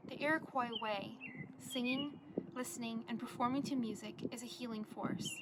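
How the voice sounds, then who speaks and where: Noticeable ambient noise sits behind a young woman's voice, which is on the high side. She reads a prepared essay aloud like a student presenting her work. Her delivery is earnest and measured. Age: young adult.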